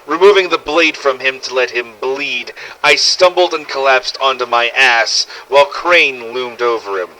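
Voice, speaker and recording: A man's voice: mid-range voice, moderate pace, hardly any pauses, sharp tone, slight background noise, poor audio. A man narrating a scripted first-person survival story in character, with a dramatic, gritty delivery and some swearing. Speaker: narrator of fan-made game audio logs.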